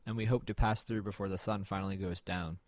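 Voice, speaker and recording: A man's voice: sits low, fairly even intonation, fast talker, dry recording, natural voice. A man playing a weary, wry young man in an audio drama, narrating and talking in an understated, brooding way. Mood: somber narrating. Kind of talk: scripted first-person narration and low-key dialogue in an audio drama.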